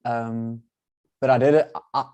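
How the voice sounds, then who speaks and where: Mellow-voiced Afrikaans-speaking young man hosting. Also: mid-range voice, fairly even intonation, slow pace, clear, resonant tone, muffled recording. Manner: friendly, filler-heavy, restarts phrases. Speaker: event host, product manager. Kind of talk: webinar introduction and audience poll.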